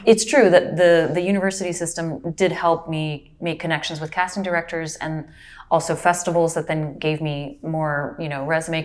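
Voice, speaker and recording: A woman from Brazil, a native Portuguese speaker, recalls her path as an actor and narrator in an unhurried, reflective way. Her voice sits low for a woman. She talks casually and tells it like a story. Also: a little mellow. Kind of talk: casual interview.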